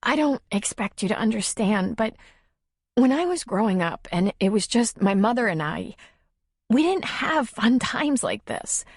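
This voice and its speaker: A woman voicing a tearful, timid young single mother who confides haltingly in an audiobook story. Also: on the high side, expressive, no echo, studio quality, pitched above the performer's own voice. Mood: emotional confession. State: tearful, sniffling.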